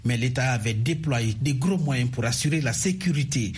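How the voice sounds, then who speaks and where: A man's voice: medium pitch, lively intonation, normal speed, slightly rough. A man delivering a formal radio news report from the field. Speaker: radio correspondent.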